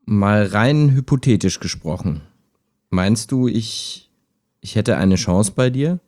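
A man's voice: on the low side, expressive, takes it slowly, a little mellow. A man playing a skeptical, wistful chatbot that talks like a human in an intimate radio play, understated and hesitant. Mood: tentative, wry.

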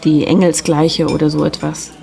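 A woman playing a friendly, gently teasing secretary in an audio drama. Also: low-pitched, level tone, moderate pace, vocal fry. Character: friendly, gently teasing young female secretary, slightly mysterious. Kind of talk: German audio drama dialogue.

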